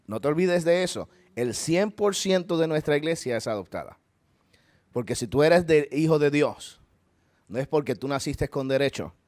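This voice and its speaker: Earnest Puerto Rican pastor, dry sound. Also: male voice, a little high, animated, normal speed, a little mellow, dry recording. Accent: Puerto Rican Spanish. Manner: earnest, conversational preaching with asides. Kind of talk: church talk and closing prayer. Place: church hall.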